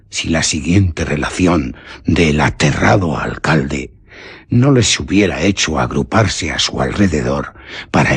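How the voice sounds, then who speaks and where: A man's voice: sits low, husky. A man with a Castilian accent narrating a radio drama in a solemn, literary storytelling manner. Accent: Castilian Spanish. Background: faint music.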